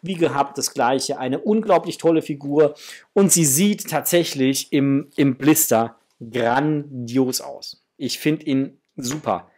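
A man's voice: mid-range voice, takes it slowly, darkish tone. A man in his forties chatting casually and enthusiastically to viewers as he presents an unboxing indoors. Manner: enthusiastic, addresses viewers as friends. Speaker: toy unboxing vlogger. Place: indoors, small room with framed posters.

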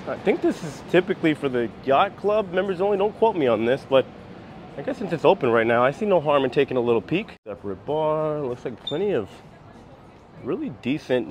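An American man in his thirties with a slightly high voice talks casually as a travel vlogger. He gives a running tour commentary as he walks around, holding the camera at arm's length. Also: dull audio, a little hiss or hum. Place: walking around a cruise ship, decks and indoor areas. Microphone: handheld camera at arm's length.

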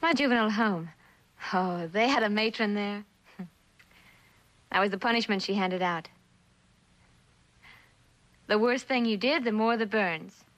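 An actress playing a blunt, streetwise young delinquent with a slangy, defiant way of talking in a vintage suspense drama. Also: female voice, medium pitch, unhurried, pauses often, fairly bright tone, natural voice, low-quality recording. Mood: flippant. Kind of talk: 1960s television suspense drama acting.

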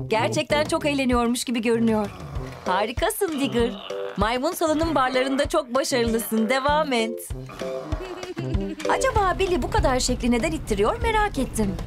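Lady narrating a little kids cartoon, cheering them on, high lively voice. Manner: encouraging, exclamatory, talking to the characters. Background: music.

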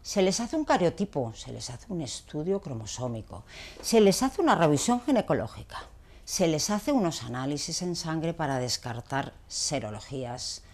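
Low-voiced woman explaining emphatically. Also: a little mellow. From Barcelona, Spain. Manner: didactic, emphatic, rhetorical questions. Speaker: gynecologist, video blogger. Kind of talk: explanatory talk to camera. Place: small clinic consulting room.

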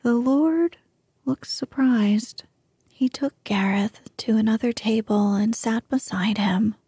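A woman narrates an old tale in a soft, breathy whisper, very close to the microphone. Her tone is mellow and her pace slow. The sound is dry and intimate. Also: on the high side, no echo. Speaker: storyteller. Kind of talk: whispered ASMR story reading.

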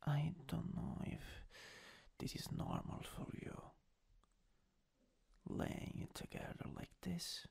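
Male performer as tender, teasing country boyfriend, Italian accent, gravelly whisper, very unhurried, intimate ASMR roleplay. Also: a little high, wildly swooping pitch, darkish tone, heavily acted. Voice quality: breathy, raspy whisper. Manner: slow, hesitant, intimate murmuring. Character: soft-spoken country-boy lover, teasing and soothing.

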